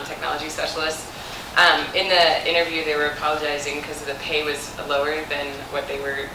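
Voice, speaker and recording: A woman talking informally as a career panelist, casual and a bit hesitant, pausing often. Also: deep voice. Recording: echoey, distant mic, noisy room.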